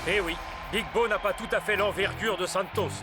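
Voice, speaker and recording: Man acting an excitable high-pitched cartoon football commentator. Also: moderate pace, lightly acted. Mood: excited. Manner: theatrical, exclamatory. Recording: loud music behind the voice.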